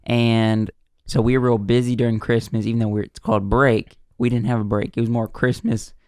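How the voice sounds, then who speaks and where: A man with a Southern American accent, co-hosting a podcast in laid-back casual chat with quick asides. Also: medium pitch, level tone, a little mellow, smooth, dry recording, studio quality. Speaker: podcast co-host.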